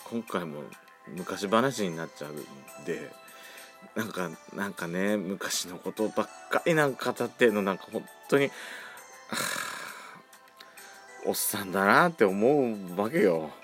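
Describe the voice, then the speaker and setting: A man's voice: lowish voice, expressive, measured pace, fairly bright tone. A man around forty hosting a casual solo podcast, chatting with campy, feminine phrasing. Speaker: podcast host. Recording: faint background music.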